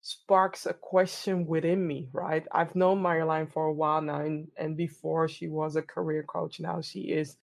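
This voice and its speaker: Lady on a podcast, lowish voice, earnest and thoughtful, repeats herself a bit. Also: flat delivery, normal speed, gravelly, few pauses. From Netherlands. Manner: warm, earnest, repeats words while thinking. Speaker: podcast host and DEI coach. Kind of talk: reflective conversational interview answers.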